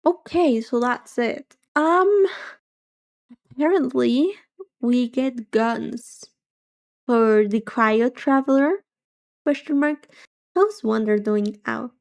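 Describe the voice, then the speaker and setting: A soft-voiced woman livestreamer chatting casually and reacting, with a musing, hesitant, playful manner. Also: on the high side, slow, vocal fry, pauses often. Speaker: livestreamer, vtuber.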